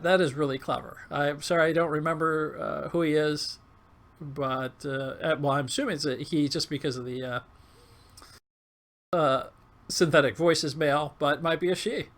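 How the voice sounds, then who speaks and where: A man with a coarse, hoarse, failing voice giving casual, halting commentary over gameplay. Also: on the high side, a bit bright. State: hoarse, losing his voice. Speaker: gaming video host.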